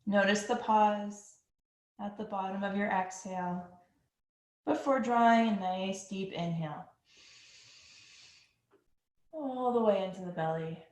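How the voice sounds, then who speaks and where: A woman teaching a yoga class, guiding breathing in a slow, calm and soothing manner. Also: medium pitch. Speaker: yoga instructor. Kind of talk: guided yoga breathing instruction. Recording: echoey room.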